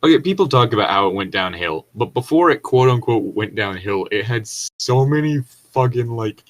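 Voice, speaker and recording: A man's voice: medium pitch, animated, moderate pace. A man co-hosting a story read-along, moving between reading aloud and irreverent, sweary, digressive joking asides. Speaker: co-host reading and commenting on stories.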